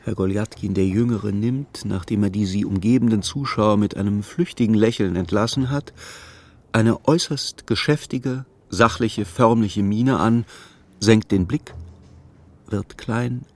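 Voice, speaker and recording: A man narrating a literary radio play in a measured, precise, wry storytelling manner. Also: fairly low pitch, darkish tone.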